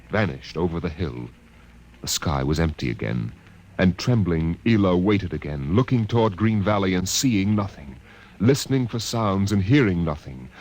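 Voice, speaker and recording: A man's voice: low-pitched, darkish tone, slight background noise, poor audio. A man working as narrator, reading scripted science fiction in a dramatic storytelling style.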